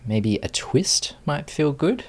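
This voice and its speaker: A man leading a guided meditation as a teacher, speaking calmly and soothingly with long pauses. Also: a little high.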